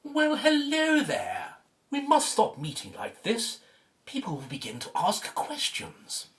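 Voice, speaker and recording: Sly, condescending gentleman played by a man, unhurried and very animated in pitch, arch radio drama villainy. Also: full character voice. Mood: smug, teasing. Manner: arch, smug, drawling. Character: smug, suave gentleman rival, slyly condescending. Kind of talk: audio drama acting.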